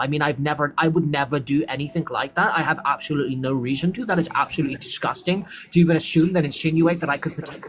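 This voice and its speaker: A man's voice: a little high, a bit bright. A man hosting a livestream with callers, scolding the chat and defending himself. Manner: scolding, defensive, quick to complain. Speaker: livestream host.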